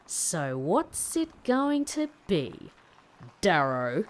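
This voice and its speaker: Low female voice, very animated intonation, woman acting defiant sarcastic hitchhiker, audio drama. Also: very slow, heavily acted. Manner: confrontational, sarcastic. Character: defiant, sharp-tongued undercover woman hitchhiker.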